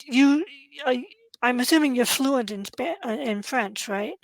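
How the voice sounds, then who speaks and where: Woman interviewer, fairly high voice, dry close sound, lots of little reactions. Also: a little slow, creaky, no room sound. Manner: eager, responsive, lots of short reactions. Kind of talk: casual interview chat.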